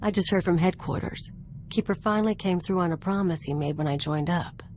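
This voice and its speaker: Actress as a brash, low-voiced outlaw woman. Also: brisk, a little mellow, slight background noise, close to an everyday voice. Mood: breezy. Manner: casual, clipped, cocky. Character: brash, streetwise female outlaw gunslinger companion. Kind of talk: conversational video game acting.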